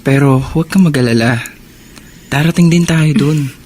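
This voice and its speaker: A man's voice: on the high side, normal speed, darkish tone, close to an everyday voice. A man with a Filipino accent playing a devoted, burdened older brother in a radio drama, speaking with earnest feeling. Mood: tender, reassuring. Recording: music under the voice.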